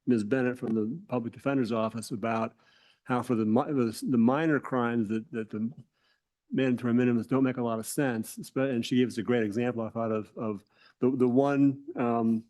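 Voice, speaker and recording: An American man with a mid-range voice puts a question to a witness at a committee hearing. He speaks haltingly, with frequent fillers and false starts as he gathers his thoughts. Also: mid-range voice, fairly even intonation, darkish tone. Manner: halting, many fillers and restarts. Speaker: legislator on the committee.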